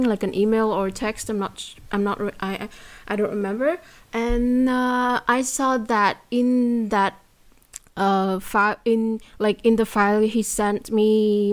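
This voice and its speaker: A woman's voice: medium pitch, darkish tone. A young woman from Vietnam with a Vietnamese accent, talking as a guest in a casual interview, hesitant with many fillers and restarts.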